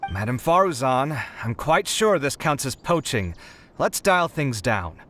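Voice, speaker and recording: A man's voice: medium pitch, big pitch swings, unhurried, fairly bright tone, slight background noise. An actor playing an earnest, easily flustered young male scholar in a video game, with a dramatic way of talking. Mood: composed, wry. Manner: dramatic, stammering when put on the spot. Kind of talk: video game character acting. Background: music.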